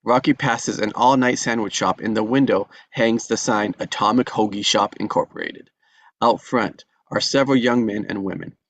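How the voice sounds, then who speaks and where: A man narrates screenplay action at a table read. His voice sits at a middle pitch, with a dry sound free of room echo. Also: moderate pace, hardly any pauses. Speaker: reader of stage directions.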